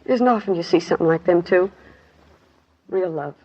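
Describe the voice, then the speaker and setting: A woman's voice: on the low side, fairly quick, slightly rough. Grieving working-class woman witness, 1950s radio drama, plain clipped delivery, muffled vintage sound. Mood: bitter, sad. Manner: plain clipped sentences, colloquial grammar. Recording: dull 1950s radio recording.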